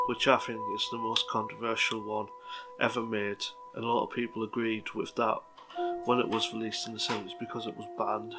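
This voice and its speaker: A man hosting a film review, talking plainly and informally in halting phrases, part scripted. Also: lowish voice, poor audio. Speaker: vlog host. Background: music.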